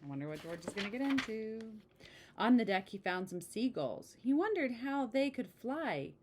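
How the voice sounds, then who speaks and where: A woman reading a children's picture book aloud in an expressive way, with casual asides. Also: a little high, normal speed, background noise. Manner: expressive, exclaiming story delivery. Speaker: storybook reader.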